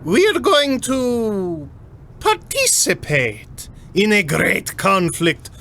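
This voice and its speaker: A man acts out a genial but menacing commander in a sci-fi audio drama. He speaks slowly and theatrically, high in pitch, savouring his formal phrases. The voice comes bright and tinny through a radio grille. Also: wildly swooping pitch, vocal fry, exaggerated voice. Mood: commanding, jovial. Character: genial, theatrical, commanding male Inquisitor, slyly amused. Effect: tinny vox grille radio filter. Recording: tinny radio speaker effect, some noise.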